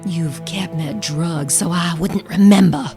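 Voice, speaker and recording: An actress playing a wistful, wronged woman in an audio drama, with a hushed, dramatic delivery. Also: fairly low pitch, unhurried, vocal fry, soft background music. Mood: accusing. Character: wistful, wronged woman confronting her captor.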